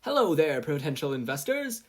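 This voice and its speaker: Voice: mid-range voice, very animated, moderate pace, voice pushed up. A narrator voicing a brash, boastful teenage girl inventor in a showy, salesy manner for a fan fiction reading. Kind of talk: podfic character voice.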